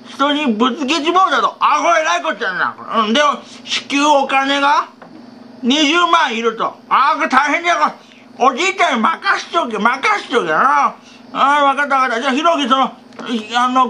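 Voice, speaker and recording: Man voicing a forgetful old grandfather with dentures, mumbling to himself, wobbly sing-song pitch, comedy sketch. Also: sharp tone, slight background noise, heavily acted. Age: older. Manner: mumbling, talks to himself, chuckles at his own jokes.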